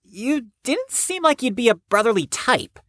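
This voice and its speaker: A woman's voice: a little high, big pitch swings, moderate pace, fairly bright tone, no room sound. A woman playing a blunt, prickly, grudgingly kind young patient in an audio drama, with dry, formal phrasing. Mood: skeptical, grudging.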